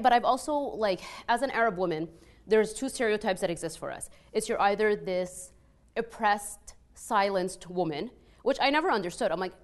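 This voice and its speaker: Lower-voiced Egyptian-Canadian woman panelist, earnest and conversational. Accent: Canadian-influenced with an Egyptian background. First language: Arabic. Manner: conversational and earnest, frequent 'like' and tag questions. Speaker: panel speaker, peace scholar. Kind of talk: personal testimony at a panel discussion.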